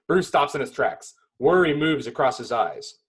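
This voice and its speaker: A man reading stage directions as narrator at a table read, in dramatic, clipped phrases with pauses. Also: fairly high pitch, lively intonation, breathy edge.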